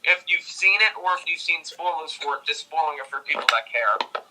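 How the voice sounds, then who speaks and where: A man's voice: a little high, expressive, fairly quick, noisy background. A teenage boy chatting casually as a guest reviewer, giving blunt opinions. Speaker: movie review co-host. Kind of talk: casual chat.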